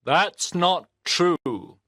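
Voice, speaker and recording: A man's voice: sits high, animated, very slow, pushed and bright, no room sound, heavily acted. A man voicing a brave, kind village father in a storybook reading, firm and defiant.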